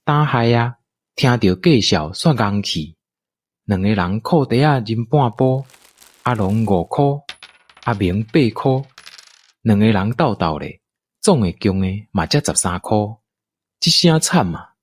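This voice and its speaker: A male narrator tells a radio-play story in a Taiwanese accent. His low, slightly husky voice moves in lively swings of pitch, close and dry. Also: unhurried, dry recording. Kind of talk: scripted radio drama storytelling.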